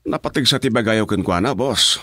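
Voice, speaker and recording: A man's voice: on the low side, a little fast, pushed and bright, husky, no room sound, studio quality. An actor playing a nervous, deferential henchman, eager and jumpy, in a radio drama. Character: nervous, deferential henchman reporting to his boss. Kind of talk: Ilocano radio drama acting.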